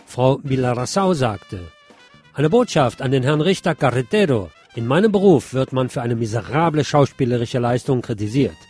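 A man's voice: mid-range voice, lively intonation, normal speed, a little mellow, husky, faint music behind. A man presenting scripted news on the radio, reading as a presenter.

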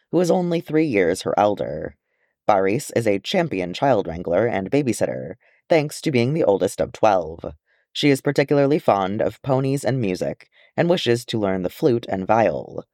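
A man reading fantasy fiction aloud as an expressive storyteller. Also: medium pitch, no echo, clean studio sound. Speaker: amateur audiobook reader. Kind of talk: expressive audiobook narration of fantasy fan fiction.

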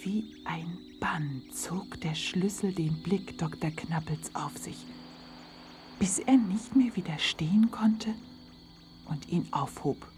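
A woman with a husky, breathy voice narrating a children's story in a dramatic, suspenseful way. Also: fairly low pitch, slow pace, over music.

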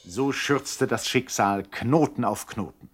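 An actor playing a wry storyteller, narrating a 1960s German comic crime radio serial. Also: male voice, mid-range voice, slow pace, heavy background noise, natural voice.

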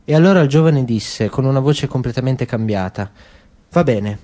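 A man narrating a literary story in a radio drama reading, steady and clear. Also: medium pitch, brisk.

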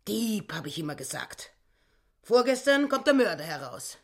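An actress playing a grasping, self-righteous, narrow-minded housewife who speaks in aggrieved, clipped phrases in a radio drama. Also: female voice, mid-range voice, sing-song, moderate pace. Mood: aggrieved, bitter.

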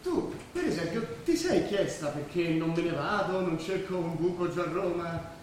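A man's voice: high-pitched, expressive, moderate pace. An actor playing a disillusioned war veteran in his late twenties in a stage drama, restless and wistful. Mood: bitter, agitated. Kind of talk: Italian stage drama acting. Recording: very echoey stage, distant, faint noise.

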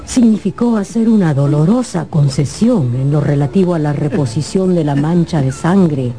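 A woman narrating a radio drama as a scripted story, with theatrical, deliberate phrasing. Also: low-pitched, lively intonation, dark tone, slight background noise. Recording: music behind.

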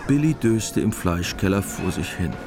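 A man narrating a radio drama from a script in a measured, matter-of-fact way. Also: low-pitched, lively intonation. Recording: music behind the voice.

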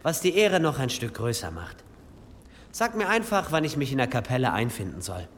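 An actor plays a pious, deferential pilgrim in a radio drama. He speaks formally and eagerly in a lively, varied mid-range voice, in long hall reverb with some ambient noise. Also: mid-range voice, normal speed, close to an everyday voice. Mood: dutiful. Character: polite, deferential pious pilgrim guest at a monastery. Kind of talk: German radio drama acting.

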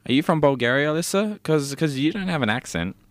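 A man's voice: a little high, animated, quick pace, no room sound. A man in a comedy podcast, playing a flustered character in an improvised sketch and stammering through his lines.

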